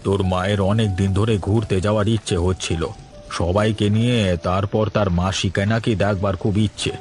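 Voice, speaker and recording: Man playing a low-voiced elderly cartoon grandfather. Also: steady tone, brisk, a little mellow, faint music behind, lightly acted. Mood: stubborn, cheerful. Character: elderly poor father and doting, carefree grandfather. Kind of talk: cartoon story voice acting.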